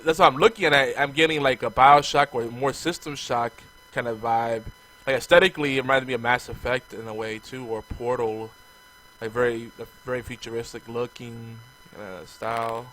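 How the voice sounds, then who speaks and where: Brief: male podcast co-host, casual and chatty, hoarse from a coming cold, faint hiss under the audio. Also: medium pitch, steady tone, moderate pace, pushed and bright, poor audio. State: hoarse, losing his voice. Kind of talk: casual chat.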